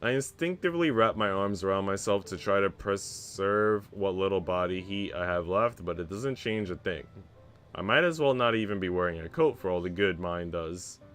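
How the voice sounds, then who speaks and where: A man's voice: low voice, expressive, moderate pace, fairly bright tone, clear, resonant tone. A young man streaming a visual novel in a laid-back, slangy way, switching between casual chat and reading aloud. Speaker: game streamer. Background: music.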